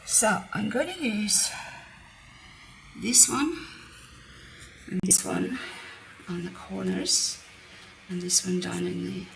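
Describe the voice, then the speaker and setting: A woman hosting a card-making demonstration, chatting through each step with a croaky voice. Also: medium pitch, takes it slowly, long pauses. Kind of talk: casual craft demonstration. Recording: roomy, mic at a distance, faint background noise. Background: birds outside.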